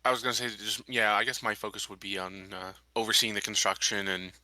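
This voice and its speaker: Very bright, forceful-voiced man, matter-of-fact. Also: fairly low pitch, little pitch movement, quick pace, no echo. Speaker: player in a tabletop game. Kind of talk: casual game discussion.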